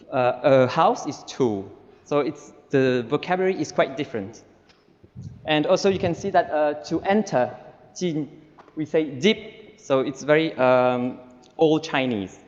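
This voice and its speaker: Slow, methodical man with a Taiwanese accent. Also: mid-range voice. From Cambodia. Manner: patient, careful, spells out sounds one by one. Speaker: language teacher in a recorded video. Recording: dull, reverberant played-back video audio.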